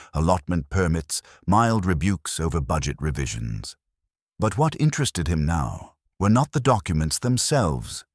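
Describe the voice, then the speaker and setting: Very deep, husky male storyteller. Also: no room sound, studio quality. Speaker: narrator. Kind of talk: scripted narration of a mystery story.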